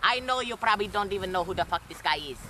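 Brief: teen boy as a cocky anime-fan villain, jumpy lively pitch, mock accent, windy noisy camera audio outdoors. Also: fast. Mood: taunting. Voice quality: foul-mouthed, taunting, mock foreign accent. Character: crude boastful anime-fan villain, young male. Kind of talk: homemade amateur video skit. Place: outdoors on a suburban lawn.

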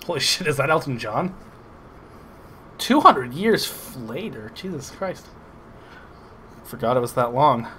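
A man giving casual, excitable, sarcastic commentary over a video game playthrough, swearing often. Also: mid-range voice, a little slow, pauses often, a little hiss or hum. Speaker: gameplay commentator. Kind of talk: casual let's play commentary.

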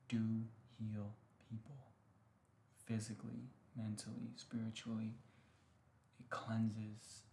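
A hushed man talks casually to his viewers in a gentle ASMR style, drifting toward whispers. His voice is mellow and crackles with vocal fry, over a faint noise in a small home room. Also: medium pitch. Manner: hushed, unhurried, often slipping into whispers. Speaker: ASMR host. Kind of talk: soft-spoken ASMR, casual chat to viewers.

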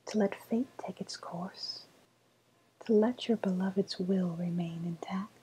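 A woman playing a sly, cooing woodland witch with a faint menace, in a breathy, softly spoken ASMR roleplay whisper. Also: medium pitch, slight background noise, close to an everyday voice, low-quality recording. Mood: knowing, ominous. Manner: slow, savouring, coaxing delivery.

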